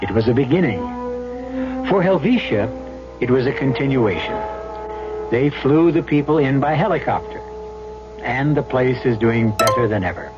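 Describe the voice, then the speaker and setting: A man's voice: mid-range voice, expressive, normal speed, pauses often. A man hosting an old-time radio drama, delivering scripted dramatic narration. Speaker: host and narrator. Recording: muffled old radio recording. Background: music.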